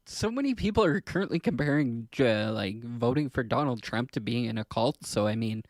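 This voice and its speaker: Male co-host, gravelly mid-pitched voice, close dry sound, informal and slightly hesitant in political conversation. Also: lively intonation, fairly quick, no room sound. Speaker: podcast co-host. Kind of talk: casual political chat.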